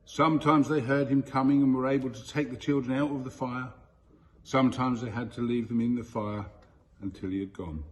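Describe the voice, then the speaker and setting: A man reading a children's story aloud in a deliberate, expressive way with storyteller pauses. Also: mid-range voice. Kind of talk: scripted storybook narration.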